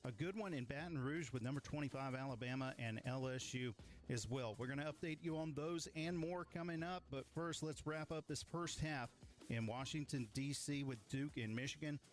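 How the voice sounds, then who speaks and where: A man's voice: medium pitch, normal speed. A man hosting a sports radio update from a broadcast studio. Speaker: studio host. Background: faint music.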